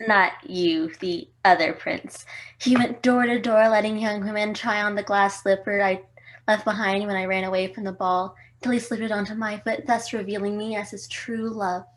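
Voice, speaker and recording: A woman's voice: medium pitch, steady tone, moderate pace, natural voice. A young woman playing a prim, lovestruck fairytale princess in a comic stage play. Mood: earnest, romantic. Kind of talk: comic stage acting over video call.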